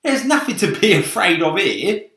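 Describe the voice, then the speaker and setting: A man's voice: sits high, sing-song, normal speed, a bit bright, voice pushed up, full character voice. A man voicing a brash, mocking male centipede in a storybook, with a scoffing, cocky manner. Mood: dismissive. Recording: echoey room.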